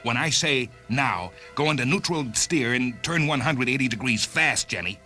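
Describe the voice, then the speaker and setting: Bright, terse commanding hunter played by a man. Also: mid-range voice, lively intonation, moderate pace. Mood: urgent, commanding. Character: Murdoch, tired, determined male hunter commanding his car. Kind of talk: dramatized science fiction story reading. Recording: music behind the voice.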